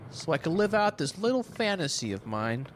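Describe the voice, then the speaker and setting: An actor playing volatile, mocking men in a theatrical audio drama, with faint noise behind. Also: male voice, a little high, big pitch swings, normal speed. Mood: menacing, mocking.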